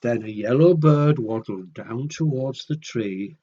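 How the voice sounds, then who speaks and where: A man's voice: mid-range voice, unhurried, warm tone, dull audio. A man reading a picture book to children expressively, with chatty conversational asides to his young listeners. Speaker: children's storyteller.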